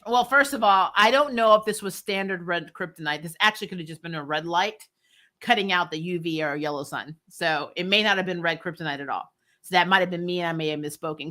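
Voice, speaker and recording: A woman's voice: fairly low pitch, pushed and bright. A woman hosting a casual recap livestream, talking informally and chattily about shows and viewer comments. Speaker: host of a TV recap show.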